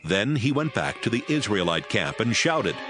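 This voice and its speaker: A man's voice: lowish voice, big pitch swings, moderate pace. A man narrating a dramatized audio Bible in a scripted storytelling style. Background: music.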